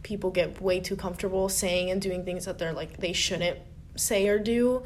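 A young woman co-hosting a casual roundtable discussion, outspoken and animated, with lots of filler words and a strong voice. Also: fairly low pitch, moderate pace, a little hiss or hum. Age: young adult. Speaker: staff writer and podcast co-host.

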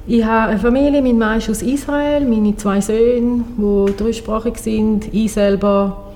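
A middle-aged woman introduces herself in a plain, matter-of-fact way. Her voice is mellow and dark, and faint background noise sits under it. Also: on the high side, steady tone, measured pace, low-quality recording. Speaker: guest, cantonal politician. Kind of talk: casual panel introduction.

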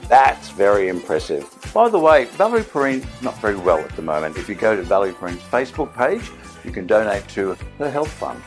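A man's voice: lowish voice, sing-song, fairly quick, faint music behind. A man hosting a film-night special, chatty and enthusiastic, with playful asides. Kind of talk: casual upbeat presenting.

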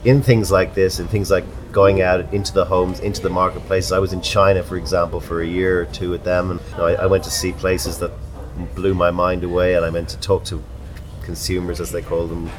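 A man with an Irish accent hosting a casual pub chat, chatty and full of animated anecdotes. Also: low voice, a little fast, a crowd in the background. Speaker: podcast host.

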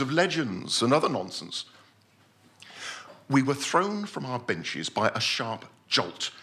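A man playing a pompous, self-assured gentleman scientist telling his adventure in a theatrical story reading. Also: mid-range voice, heavily acted. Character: pompous, obsessive Victorian-style gentleman scientist recounting his deep-sea adventure.

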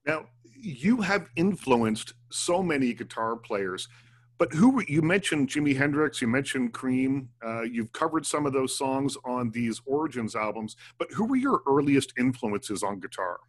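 A man hosting an interview, reading a smooth scripted introduction and then asking conversational questions. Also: medium pitch, lively intonation, a little slow. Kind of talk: radio interview.

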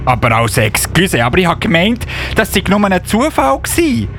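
A man as an excitable high-pitched little elf policeman. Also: faint music behind, heavily acted. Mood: explaining, flustered. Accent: Swiss German. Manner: halting, excitable, often interjecting excuses. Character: eager little male Christmas elf policeman, bumbling but helpful. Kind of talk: children's Christmas radio play acting.

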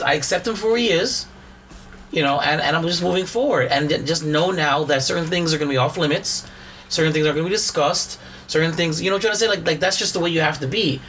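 A hoarse man co-hosting a podcast in casual chat, rambling and restarting his sentences. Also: on the high side, a bit bright, slight background noise. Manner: rambling, repeats words and restarts often. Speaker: podcast co-host.

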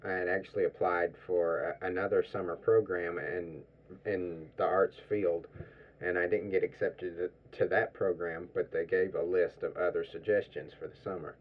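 A teenage boy from Kentucky tells in a relaxed way how he found the program. His voice sits very low, and the recording sounds slightly dull and muffled. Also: normal speed. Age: teens. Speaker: student guest. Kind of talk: radio interview.

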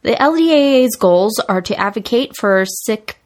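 A woman's voice: on the high side, lively intonation, moderate pace, darkish tone. A woman reading out a scripted introduction for an organization. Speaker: announcer. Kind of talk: read-out introduction.

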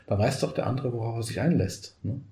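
A man whose first language is Portuguese, a coaching expert telling stories in a conversational podcast, animated and often adding a quick "ne?". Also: mid-range voice. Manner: animated storyteller, frequent tag "ne?". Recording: roomy echoey room.

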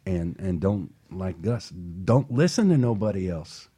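A man's voice: deep voice, very animated, a little slow, dark tone, slightly rough. A man hosting a motivational talk show, speaking earnestly and passionately, often repeating words as he starts a thought. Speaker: host.

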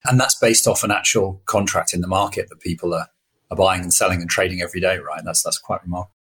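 Quick-talking, husky male host. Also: low voice, fairly even intonation, fairly bright tone. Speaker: podcast host. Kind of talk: conversational interview.

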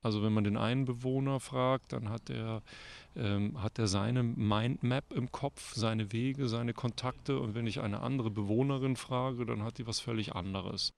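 A man's voice: medium pitch, steady tone, normal speed, vocal fry, darkish tone, no room sound. A man giving spontaneous explanations in an interview, searching for words in short phrases. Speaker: theatre director, interviewee.

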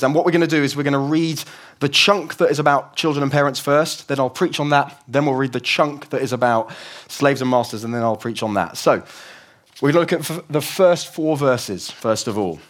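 A young man preaching a conversational, explanatory sermon in a church service, his voice croaky from a cold. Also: mid-range voice. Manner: conversational, explanatory, with frequent restarts.

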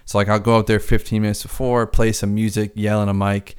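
A man's voice: on the low side, flat delivery, a little fast, clear, resonant tone. A man who works as a DJ, chatting casually on a podcast, rambling with lots of filler words and swearing freely.

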